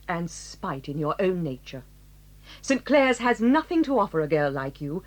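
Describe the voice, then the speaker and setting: An actress playing a stern, dignified headmistress who reproves pupils in a measured way, in a 1980s audio drama. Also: female voice, mid-range voice, poor audio.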